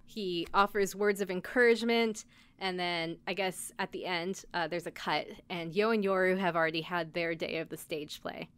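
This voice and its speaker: A woman's voice: on the low side, steady tone, moderate pace, a bit bright, clear, resonant tone. A woman podcast host giving a casual, chatty recap, speaking conversationally with lots of filler "like". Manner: conversational, peppered with "like".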